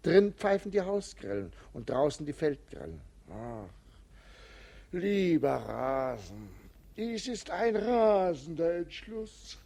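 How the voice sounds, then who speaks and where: Mocking witty jester-servant. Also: male voice, on the high side, sing-song, slow, vocal fry, mellow, heavily acted. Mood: grumbling, ironic. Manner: playful, ironic, swinging delivery. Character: idle, witty male servant-companion, mocking joker. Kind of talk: 1950s radio play acting.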